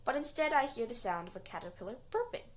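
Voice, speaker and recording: A woman reads a children's storybook aloud with a high voice. Her delivery is very lively and expressive, with dramatic swings for the story. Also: quick pace. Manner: animated, dramatic dialogue. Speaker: storybook reader.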